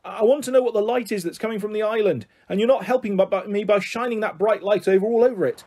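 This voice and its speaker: Man as an indignant high-voiced young boy. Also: fairly even intonation, normal speed, vocal fry. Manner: shouting, argumentative. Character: indignant, outspoken eleven-year-old boy.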